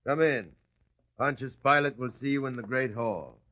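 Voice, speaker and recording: A man's voice: mid-range voice, moderate pace, close to an everyday voice. A man playing a dutiful palace guard in a biblical radio drama, curt and formal. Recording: dull, lo-fi radio recording.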